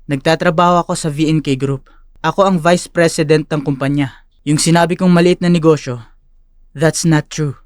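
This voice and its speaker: Lalaking gumaganap na palakaibigang batang ehekutibo, tuyong recording. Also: male voice, on the high side, steady tone, moderate pace, no room sound, close to an everyday voice. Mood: explaining. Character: friendly, smitten young male corporate vice president. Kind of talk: audio drama acting.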